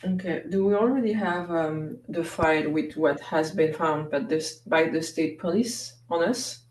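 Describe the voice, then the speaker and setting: A woman's voice: sits low, moderate pace, a little mellow. A woman player chatting casually during a tabletop roleplaying game. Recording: roomy, distant mic with background noise.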